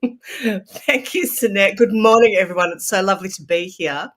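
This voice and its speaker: A woman's voice: medium pitch, normal speed. A woman in her sixties from Australia, a motivational speaker, introducing herself in an upbeat way on a video call.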